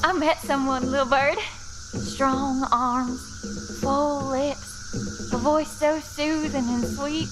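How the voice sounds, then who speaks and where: Brief: high, slow dreamy lovestruck girl, music under. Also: fairly even intonation, rough recording. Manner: slow, swooning. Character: lovestruck dreamy young woman.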